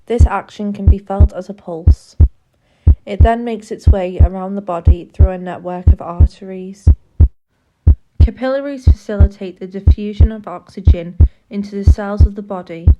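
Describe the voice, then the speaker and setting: A woman narrates an educational film from a script, with a medium-pitched voice. Her delivery is formal and measured, explaining things step by step. The recording sounds slightly muffled and lo-fi.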